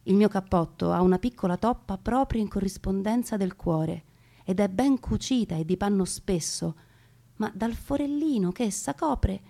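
A woman giving a theatrical, scripted narration of wartime memoirs in a solemn, dramatic manner, with deliberate, emphatic phrasing. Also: mid-range voice, gravelly, warm tone. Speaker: narrator and actress reading memoirs. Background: music.

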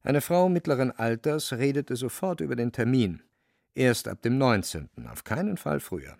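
Male narrator for literary radio drama: gravelly, mid-pitched, close dry studio sound. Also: big pitch swings, moderate pace, darkish tone, no room sound.

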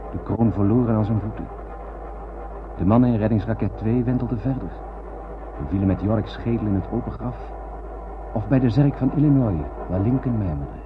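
An actor playing a haunted lone survivor of a space voyage, narrating solemnly and poetically in a radio drama. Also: male voice, lowish voice, lightly acted. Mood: urgent. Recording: noisy, thin, phone-like old radio recording.

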